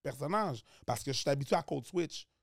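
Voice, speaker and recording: A man's voice: a little high, fairly quick, creaky, no echo, studio quality. A man with a Quebec French accent, a comedian guest chatting casually on a podcast and slipping into other voices as he explains. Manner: animated, switches accents and voices while explaining. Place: podcast studio. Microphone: studio mic.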